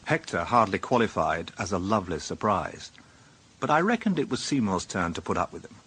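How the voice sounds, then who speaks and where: A man's voice: deep voice, big pitch swings, brisk, fairly bright tone, husky, full character voice. A British actor playing a dry, sardonic police inspector who narrates his own case in a radio drama. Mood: wry, reflective.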